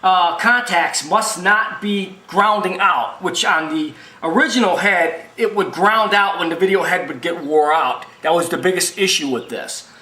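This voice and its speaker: A man with a notably high, sharp-edged voice talks through a repair in an informal tutorial. He is folksy and emphatic, rambling and circling back over his points. The sound is roomy and echoing. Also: a little slow, few pauses. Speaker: video machine repair technician and presenter.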